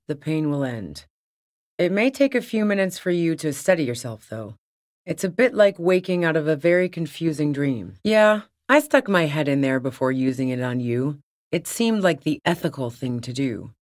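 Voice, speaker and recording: A woman voicing a tough, wary fugitive in a video game, blunt, wry and defiant, with profane, slangy talk. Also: deep voice. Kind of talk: video game character dialogue.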